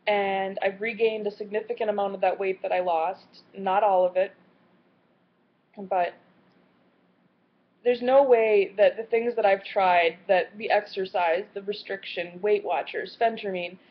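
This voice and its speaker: Late-20s woman vlogging, candid and kinda halting, medium voice, muffled cheap mic sound. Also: mid-range voice. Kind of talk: personal video diary. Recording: slightly muffled lo-fi audio.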